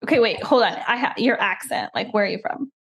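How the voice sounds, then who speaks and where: A woman's voice: on the high side, lively intonation, quick pace, a bit bright. A woman hosting an interview show, upbeat and playful, laughing easily with her guest. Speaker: podcast host, general contractor. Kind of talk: scripted intro then casual interview.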